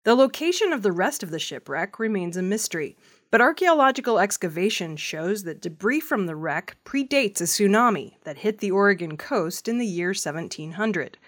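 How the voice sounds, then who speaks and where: A woman's voice: mid-range voice, lively intonation, normal speed. A woman news reader delivering a scripted audio news report.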